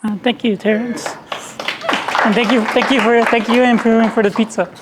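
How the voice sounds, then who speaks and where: A man with a hoarse voice giving a technical presentation at a meetup, talking haltingly with many restarts and repeated words. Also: very high voice, level tone, a loud crowd, very noisy.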